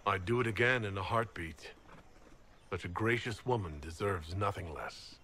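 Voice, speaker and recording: Gallant, lowish-voiced male leader. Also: normal speed, bright, forceful tone, slight background noise. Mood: gallant, flirtatious. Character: steady male leader, diplomatic, gallant and reassuring. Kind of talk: video game cutscene acting.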